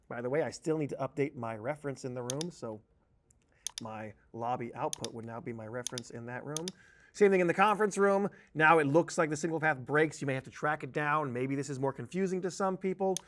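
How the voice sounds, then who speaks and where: A man giving a conversational software tutorial as a trainer, explaining each step casually. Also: a little high.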